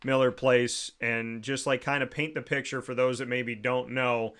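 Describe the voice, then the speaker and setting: A man's voice: mid-range voice, level tone, moderate pace, fairly bright tone. A man hosting an interview, asking questions in a friendly, conversational way. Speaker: host.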